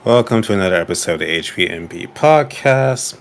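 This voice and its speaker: A man co-hosting a podcast, welcoming listeners with dry, deadpan teasing. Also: deep voice, brisk. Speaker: podcast co-host giving the welcome. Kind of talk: casual podcast chat.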